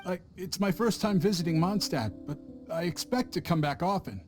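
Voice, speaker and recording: A man's voice: high voice, animated, normal speed, a little mellow. A man voicing a nervous, evasive male merchant in a video game, stammering and covering his slips. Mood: flustered. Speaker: traveling merchant. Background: game music.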